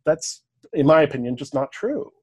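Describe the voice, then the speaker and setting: A man's voice: a little high, lively intonation, normal speed, a little mellow, slightly rough. A man with a Canadian accent, speaking as a podcast guest in a casual, lecture-like discussion, thinking aloud, often checking in with "right?" and now and then swearing.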